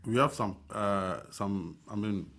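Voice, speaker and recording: A man's voice: lowish voice, slow, fairly bright tone. A man whose first language is French, a Red Cross volunteer guest in a radio studio, speaking emphatically with repeats and restarts. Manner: emphatic, repetitive, many restarts. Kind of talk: radio interview. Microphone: studio microphone.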